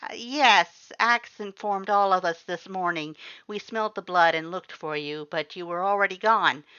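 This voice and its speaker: A woman voicing a tall, loud, worried male skeleton who exclaims fretfully. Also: fairly low pitch, normal speed, clean tone, no room sound, lo-fi sound, voice pushed up. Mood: awkward.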